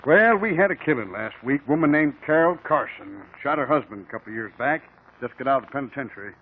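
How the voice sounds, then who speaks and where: An actor playing a plainspoken small-town American sheriff in a 1950s radio drama, with rustic, ungrammatical country talk. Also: male voice, medium pitch, expressive, quick pace, phone-quality sound, a little hiss or hum, lightly acted. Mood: earnest. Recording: old band-limited radio recording.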